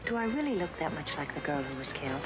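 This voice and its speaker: A woman's voice: low voice, big pitch swings, fast talker, muffled recording, heavy background noise. An actress playing a young woman detective in a 1970s TV crime drama, speaking in an inquisitive, gossipy way. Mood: curious. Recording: old TV clip with loud music.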